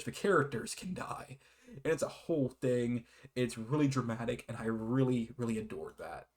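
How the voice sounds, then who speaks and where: An American man giving a casual, rambling video game review, often correcting himself and apologizing as he shares his enthusiasm. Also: mid-range voice. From United States.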